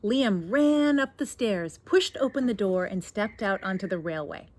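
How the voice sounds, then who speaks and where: Woman, 30s, expressive storybook reader, very varied pitch, taking her time, recorded in a garden with birds and light background noise. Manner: addresses young listeners, asks guiding questions. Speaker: teacher reading a picture book aloud. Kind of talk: expressive children's read-aloud with asides. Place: outdoors in a backyard garden. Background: birdsong, faint outdoor noise.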